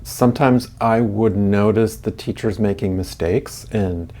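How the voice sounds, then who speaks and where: A man's voice: on the low side, unhurried, dark tone. A man in his sixties who grew up in Germany, a podcast guest telling his story in a reflective, chatty way with frequent restarts and small laughs. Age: 60s. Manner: reflective, chuckling, many restarts. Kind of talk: casual interview chat.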